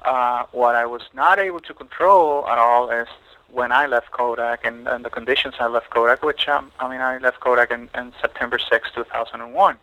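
A middle-aged man from Puerto Rico, a photographer being interviewed, talking thoughtfully and haltingly with many fillers and restarts. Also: mid-range voice, fairly even intonation, pushed and bright, dry recording, rough recording. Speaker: photographer guest. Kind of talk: podcast interview.

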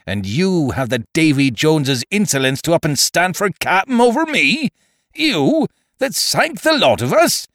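A man acts out a sly, menacing pirate sea cook from an adventure storybook. His rustic sailor talk swings dramatically in pitch and takes its time, full of contempt and command. The sound is close and dry. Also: big pitch swings, a little slow, no room sound. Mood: indignant, vehement. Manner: rustic old-time sailor speech with theatrical emphasis. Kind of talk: dramatised audiobook reading of a classic adventure story.

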